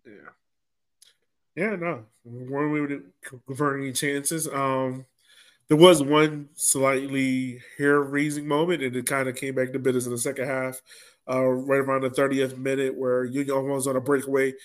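A man's voice: mid-range voice, level tone, moderate pace. A man hosting a casual sports recap podcast in a conversational way, drawing others into the discussion. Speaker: podcast host.

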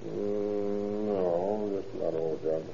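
An actor playing a probing, wry and stern American police lieutenant in an old-time radio detective drama, controlled and authoritative. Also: male voice, on the low side, steady tone, slow pace, creaky, dark tone. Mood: dry, noncommittal. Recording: tinny, noisy vintage radio recording.

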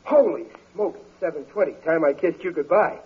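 A man's voice: fairly high pitch, brisk, husky. An actor playing a grumbling but good-hearted middle-aged American working-class father, folksy and ungrammatical, in an old radio comedy. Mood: blustery, folksy. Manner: folksy, ungrammatical, exasperated wisecracking. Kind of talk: 1940s radio comedy acting. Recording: muffled vintage radio recording.

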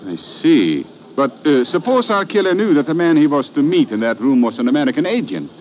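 An actor playing an earnest police lieutenant who reasons aloud haltingly in a 1950s radio adventure. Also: male voice, a little high, sing-song. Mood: earnest, pondering. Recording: muffled old radio recording, faint noise.

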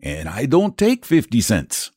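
A man's voice: mid-range voice, huge pitch swings, unhurried, darkish tone, no room sound, studio quality. A man voicing a stubborn, rule-bound Irish express agent who speaks in thick dialect and mocks the customer. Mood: stubborn mocking.

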